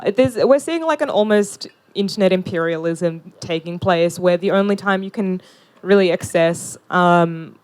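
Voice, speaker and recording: A woman's voice: fairly low pitch, smooth. A young woman guest on an outdoor panel, speaking animatedly and conversationally into a handheld mic. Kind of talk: casual panel discussion. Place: outdoor park pavilion.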